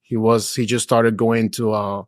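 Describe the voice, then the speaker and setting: A man's voice: on the low side, a little slow, no room sound, clean studio sound. A man in his forties, raised in Peru, talking casually as a podcast guest, often saying "dude" and "bro". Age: 40s. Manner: relaxed and chatty, drops "dude" and "bro", many restarts. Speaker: guest, real estate investor. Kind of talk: casual interview talk.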